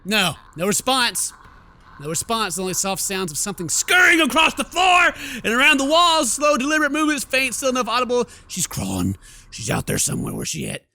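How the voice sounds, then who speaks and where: Animated, high-pitched male gaming host. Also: a little fast. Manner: playful, exclamatory, theatrical. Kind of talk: animated let's play commentary, reading game text aloud. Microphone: close microphone at a desk. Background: faint game music.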